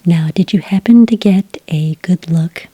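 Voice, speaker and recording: A woman speaking in a whispery, breathy soft voice, calm and methodical, as an agent in an ASMR roleplay, close to the microphone. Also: fairly low pitch, no room sound. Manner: calm, methodical questioning with gentle pauses. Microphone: close microphone in a dry room.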